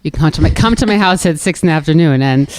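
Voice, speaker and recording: Woman podcast host, calm soft voice, dry close sound. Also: sits low, fast talker, no echo. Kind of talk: live podcast conversation before an audience. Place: live event before an audience.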